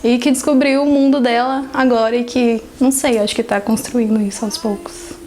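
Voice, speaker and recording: A woman's voice: fairly high pitch, steady tone, fairly quick, a little mellow. A woman in a documentary interview, speaking thoughtfully and with some hesitation.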